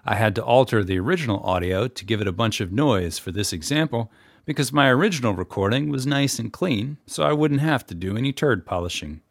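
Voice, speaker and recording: A man's voice: mid-range voice, lively intonation, brisk, creaky, dry recording, studio quality. A man giving a scripted how-to talk as a podcast guest, in a wry, plainspoken way. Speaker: guest audio editor and podcast narrator.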